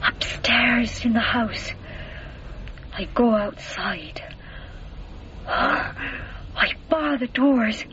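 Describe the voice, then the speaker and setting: An actress playing a spurned, vengeful servant woman in a trance, breathy and often whispering, in an intense period radio drama. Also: a little high, drawn-out words, long pauses. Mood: vengeful, entranced. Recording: dull radio recording, background noise.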